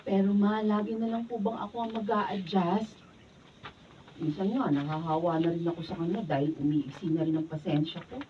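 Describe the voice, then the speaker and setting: A woman's voice: on the low side, steady tone, normal speed, close to an everyday voice. A woman playing a long-suffering, exasperated wife in a Filipino radio drama. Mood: downcast. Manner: apologetic, then scolding back. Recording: distant mic, dull with faint noise.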